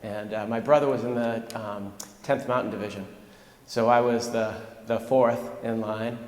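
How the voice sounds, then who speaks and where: A man, a veteran, recounting his family's service history in a steady, measured way. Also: fairly low pitch, reverberant, in a large hall. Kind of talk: recorded interview reflection.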